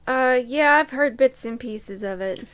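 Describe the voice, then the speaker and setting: A woman chatting casually as a podcast co-host, with brief, dry, low-key replies. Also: measured pace, fairly bright tone, clear, resonant tone. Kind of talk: casual chat.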